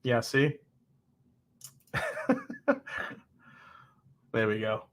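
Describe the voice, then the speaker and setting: A man in his forties hosting a live whiskey tasting, chatting casually and reacting to viewers' comments with quick, excitable exclamations. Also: medium pitch. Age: 40s. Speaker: host of a whiskey tasting show.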